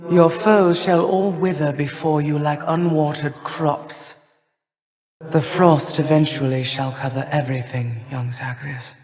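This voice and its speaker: A woman playing an imperious, cold older goddess of winter and harvest in a video game, with stately, formal, measured delivery. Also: low voice, steady tone, a little mellow. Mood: regal, austere. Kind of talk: theatrical video game character lines. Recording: long reverberant hall echo.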